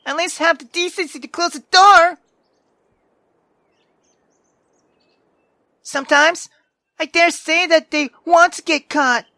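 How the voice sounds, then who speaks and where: A man's voice: very high voice, fairly even intonation, normal speed, sharp tone, no room sound, full character voice. A man voicing a feisty, indignant young woman from a visual novel, sarcastic and dramatic. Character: feisty, sharp-tongued young woman venting her grievances. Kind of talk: visual novel character reading.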